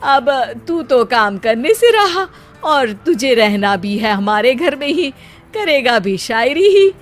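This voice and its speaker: A woman's voice: high-pitched, sing-song, slow pace, soft background music, heavily acted. A woman voicing an exasperated middle-aged father who reasons dryly with his son in a comic cartoon story. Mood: dryly teasing.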